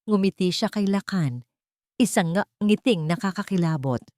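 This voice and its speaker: A woman's voice: mid-range voice, lively intonation, normal speed, warm tone, no echo, studio quality. A woman narrating a scripted romance audio drama in a dramatic storytelling style.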